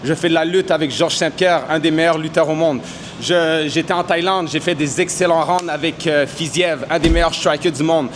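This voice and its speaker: Confident fighter, slang-heavy. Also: male voice, on the high side, steady tone, normal speed, slight background noise. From Canada. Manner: confident, mixes English slang into French. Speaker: MMA fighter. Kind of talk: casual interview answers. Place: boxing gym.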